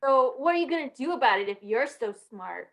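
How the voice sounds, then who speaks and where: A woman's voice: high-pitched, expressive, brisk. A woman playing a tough, bratty boy in a 1950s radio play, talking in defiant, mouthy slang. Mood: cocky, scornful.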